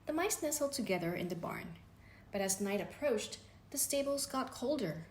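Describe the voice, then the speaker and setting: A woman reading a children's bedtime story aloud, gently and expressively. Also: fairly high pitch, lively intonation, moderate pace. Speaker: storybook reader. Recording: echoey room with faint background noise.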